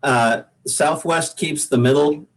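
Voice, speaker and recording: A man's voice: a little high, takes it slowly. Rambling American man telling casual stories. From Pacific Northwest, United States. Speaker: singer-songwriter, podcast guest. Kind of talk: casual interview storytelling.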